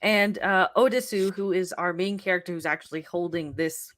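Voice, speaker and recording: A woman on a podcast panel, relaxed, explaining scenes plainly. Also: lowish voice, normal speed. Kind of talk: casual panel chat.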